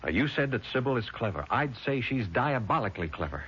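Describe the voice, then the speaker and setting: A man's voice: medium pitch, sing-song, a little fast, a bit bright. An actor in a vintage radio drama playing an earnest, protective man who speaks with emphatic urgency. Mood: earnest, grave. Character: earnest, incredulous, protective man taking charge. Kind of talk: 1970s radio drama acting. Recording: dull, noisy vintage radio recording.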